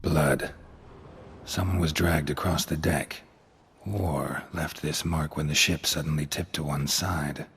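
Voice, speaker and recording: Very low, gruff stoic monster hunter. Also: male voice, slow, full character voice. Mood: muttering, pensive. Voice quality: low gravelly voice. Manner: laconic, deadpan. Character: gruff, stoic male monster hunter, dry-witted and terse. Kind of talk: video game voice acting.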